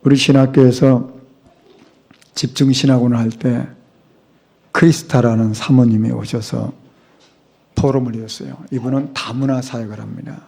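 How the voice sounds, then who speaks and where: A man's voice: mid-range voice, steady tone, normal speed, vocal fry, pauses often, dark tone, slightly rough. A middle-aged man preaching a sermon as a pastor, speaking emphatically and asking the congregation rhetorical questions. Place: church sanctuary.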